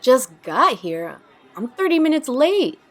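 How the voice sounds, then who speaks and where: A woman's voice: sits high, sing-song, unhurried, full character voice. A woman playing a brash, sarcastic, carefree young party lover in an audio drama, with casual, salty, sardonic delivery. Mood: teasing.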